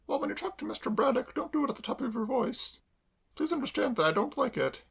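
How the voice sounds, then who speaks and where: A man voicing a warm-hearted young woman running a household. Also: very high-pitched, sing-song, fast, creaky, husky, pitched above the performer's own voice, full character voice. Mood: patient.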